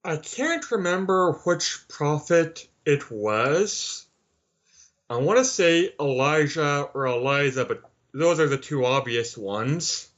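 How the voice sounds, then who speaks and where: A man answering as an interview guest, measured and wry in his manner. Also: on the high side, lively intonation, fairly bright tone, dull audio. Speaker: author, podcast guest.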